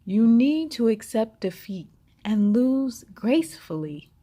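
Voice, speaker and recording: Woman as a hushed, slow, wise old cheetah. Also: on the high side, big pitch swings, heavily acted. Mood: calm, wise. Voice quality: hushed, whispery. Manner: slow, solemn advice-giving. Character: wise old male cheetah in a dream, gentle. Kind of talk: children's storybook read-aloud.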